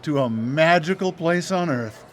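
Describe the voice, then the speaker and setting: An actor playing a smug, gleeful boss of soul collectors in a theatrical, gloating manner for live radio drama. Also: male voice, on the high side, expressive, unhurried, a little hiss or hum. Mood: smug, playful.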